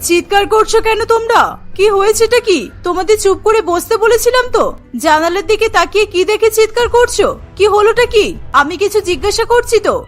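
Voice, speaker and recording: A woman's voice: extremely high pitch, fairly quick, faint music behind. A woman voicing a caring, firm female village schoolteacher in a children's cartoon, protective of her pupils. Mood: alarmed. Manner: protective, scolding the children like a teacher.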